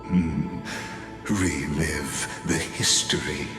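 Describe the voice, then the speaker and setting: A sinister, theatrical overseer from a video game, speaks in a bottomless low register. He is disdainful and deliberate, with the voice ringing through a long hall reverb over clear music. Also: steady tone, exaggerated voice. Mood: cool, musing. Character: sinister, mocking male overseer watching doomed heroes. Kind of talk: video game dramatic monologue.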